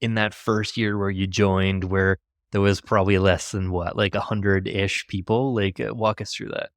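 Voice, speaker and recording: A man from Ontario, Canada, whose first language is French, hosting a casual podcast interview with relaxed fillers and easy laughs. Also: lowish voice, moderate pace, dry recording, clean studio sound. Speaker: podcast host.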